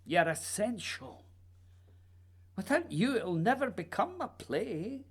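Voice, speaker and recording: An actor playing an intense, whimsical playwright in a radio play, theatrical and emphatic, with a husky voice. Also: male voice, sits high, very animated, slow pace, exaggerated voice. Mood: insistent. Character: intense, whimsical Scottish playwright, insistent and dreamy. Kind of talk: theatrical radio drama acting.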